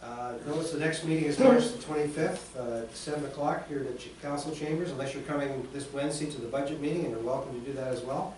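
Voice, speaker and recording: A man chairs a town council meeting, reading motions and calling for votes in a brisk procedural rhythm. He sits well back from the microphone in a hard, heavily echoing chamber, with a steady hiss of room noise behind him. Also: fairly high pitch. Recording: echoey chamber, distant mic, background noise.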